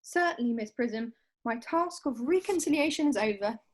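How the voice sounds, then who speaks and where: A woman's voice: on the high side, expressive, moderate pace. A woman playing a sweet, bossy young Victorian girl, effusive and coaxing, in an amateur play reading. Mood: satisfied. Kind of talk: amateur play read-through.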